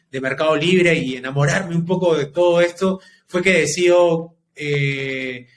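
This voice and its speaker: A man's voice: on the high side, a bit bright, a little hiss or hum. A man, a guest on a podcast, reflecting on his past in an easy conversational way. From Peru. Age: 30s. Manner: reflective, talks in long unhurried stretches. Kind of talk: casual interview storytelling.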